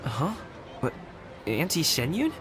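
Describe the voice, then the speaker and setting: A man's voice: a little high, wildly swooping pitch, slow pace, over music, exaggerated voice. An actor playing an earnest, modest young man in a video game, speaking in a casual, sincere way. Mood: surprised, admiring.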